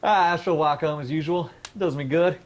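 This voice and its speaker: A cheeky young man played by an actor as the scoffing son of a radio drama household. His voice sits a little high and he teases breezily, over slight background noise. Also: fairly quick, dull audio, lightly acted. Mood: playful, breezy. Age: young adult. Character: cheeky, teasing, sceptical grown son. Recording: faint background noise and ambience.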